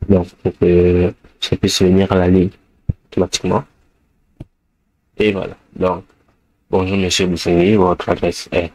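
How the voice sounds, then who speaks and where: A man's voice: deep voice, completely flat delivery, measured pace, long pauses, darkish tone, slight background noise, poor audio. A man with the flu, giving a screencast coding lesson in a hesitant way, thinking aloud with many fillers while he types. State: has the flu. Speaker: programming tutor.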